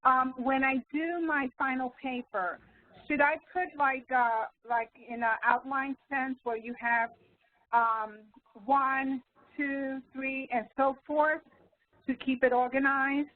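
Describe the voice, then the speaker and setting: A woman student speaking casually and haltingly as she takes part in an online help session. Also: high-pitched, fairly even intonation, dry recording. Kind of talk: casual hesitant spoken question. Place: online web conference. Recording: thin phone-like call audio.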